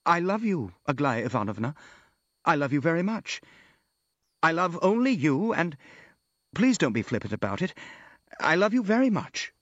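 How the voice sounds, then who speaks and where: A man's voice: a little high, expressive, normal speed, a bit bright, dry recording, muffled recording, pitched above the performer's own voice. A man voicing an earnest, meek, lovelorn prince in an audiobook, with a hesitant, sincere manner. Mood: pleading.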